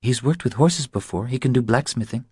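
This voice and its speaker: A man voicing an anxious, fearful young wife in an audiobook, with a hesitant, pleading manner and a husky voice. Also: medium pitch, fairly quick, a little mellow, no echo, clean studio sound, voice pushed up. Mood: careful, tense.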